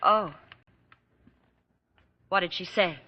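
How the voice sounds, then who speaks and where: A woman's voice: lowish voice, drawn-out words, breathy edge, full character voice. An actress playing a well-off young woman in old-time radio drama, with polished, theatrical speech on a muffled recording. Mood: uneasy. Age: young adult. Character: well-off young woman, hopeful but guarded.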